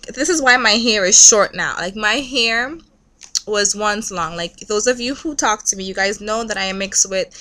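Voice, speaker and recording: A woman's voice: mid-range voice, bright, forceful tone. A young woman from Trinidad talking candidly in a casual vlog, rambling and peppering her speech with "like". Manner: chatty, candid, heavy use of "like". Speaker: vlogger.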